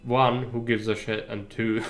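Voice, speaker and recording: Low-voiced, calm streamer guy. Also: measured pace, soft background music. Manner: relaxed, chatty, addresses chat. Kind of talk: casual live gameplay commentary.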